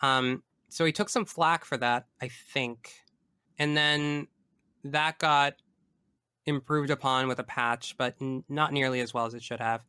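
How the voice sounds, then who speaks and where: A man's voice: mid-range voice, steady tone, unhurried, bright, forceful tone, smooth, dry recording. A man talking casually as a podcast guest about video games, opinionated and emphatic, often wandering off into asides.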